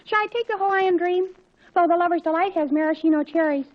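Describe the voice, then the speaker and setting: Super high gushy teen girl, old 40s radio comedy, muffled sound. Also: quick pace. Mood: dithering. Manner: gushing, breathless exclamations. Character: Gloria, gushing, easily impressed teenage girl friend. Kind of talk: 1940s radio comedy acting. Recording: dull, muffled 1940s radio recording.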